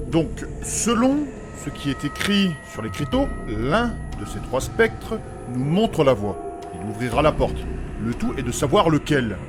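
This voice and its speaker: A man plays a scrupulous, easily scandalised adventurer in a comic fantasy audio drama. His voice is fairly high and very animated, with formal, scolding phrasing. Music plays clearly behind him. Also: measured pace. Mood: pedantic.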